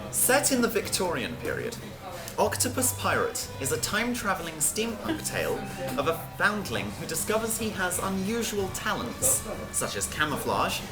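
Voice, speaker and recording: A woman reading a scripted story aloud as a narrator, with music behind her. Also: fairly low pitch. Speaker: reader.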